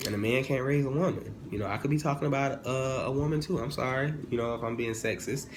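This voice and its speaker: A man in his twenties giving a casual, humorous opinion monologue as a vlogger, animated and informal, often digressing and correcting himself. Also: mid-range voice, fast talker. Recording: clearly noisy, ambient background sound.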